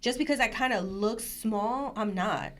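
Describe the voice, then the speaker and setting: A woman's voice: on the high side, measured pace. A woman guest on a podcast, chatting casually, telling stories with lots of laughter and acting out what other people said. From Puerto Rican family, from New Jersey. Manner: animated storyteller, laughs often, acts out quotes. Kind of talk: casual interview chat.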